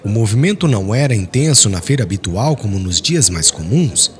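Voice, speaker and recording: A man giving a solemn, expressive, dramatic reading of a literary novel as an audiobook narrator. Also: lowish voice, brisk.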